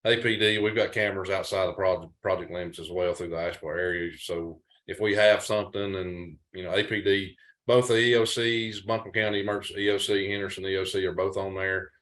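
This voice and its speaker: A man's voice: deep voice, steady tone, fairly quick, fairly bright tone. A man speaking on a webinar panel over a video call, explaining his work in a plain, matter-of-fact way. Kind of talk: casual explanatory talk.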